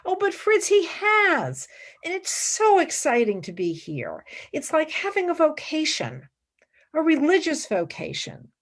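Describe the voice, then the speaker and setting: Actress as high-voiced, intense young student. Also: female voice, huge pitch swings, measured pace, full character voice. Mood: fervent. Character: intense, idealistic young woman philosophy student, exacting, earnest. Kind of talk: radio play acting.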